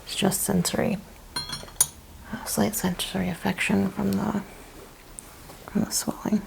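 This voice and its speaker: A woman plays a careful chiropractor in an ASMR roleplay, speaking in soft whispers and murmurs. Her voice is mellow, with creaky edges, and she guides the listener gently step by step. A faint noise floor sits behind her. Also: mid-range voice, little pitch movement, slow pace, poor audio. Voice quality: soft, breathy, often whispered. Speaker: ASMR host playing a chiropractor examining a patient.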